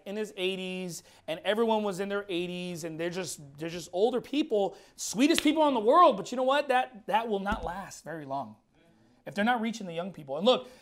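High-voiced preacher in his thirties, hoarse. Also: animated, brisk. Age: 30s. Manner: emphatic, blunt, repeats words. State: losing his voice. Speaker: pastor. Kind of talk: fiery sermon. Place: church.

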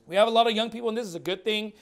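A man's voice: high voice, racing. A man in his thirties preaching a fiery, blunt sermon in a church while losing his voice. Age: 30s. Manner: emphatic, blunt, repeats words. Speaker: pastor.

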